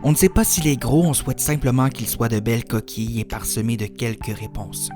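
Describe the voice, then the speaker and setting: A man reciting his own spoken-word poetry in a contemplative, literary way, in short measured phrases. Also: medium pitch, over music. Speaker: poet reciting own work.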